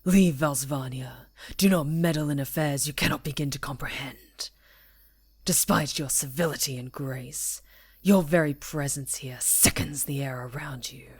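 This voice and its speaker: A woman playing a proud, solemn female werewolf chieftain in an ASMR roleplay, speaking gravely and formally in a husky, breathy voice. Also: rumbling low, no room sound, exaggerated voice. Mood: menacing. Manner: slow, grave, formal pronouncements.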